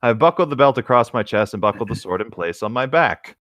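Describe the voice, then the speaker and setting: Young man with a lively, dry-recorded voice. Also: medium pitch, fairly quick, no echo. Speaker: co-host reading fan fiction aloud. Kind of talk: casual banter and story read-aloud among friends.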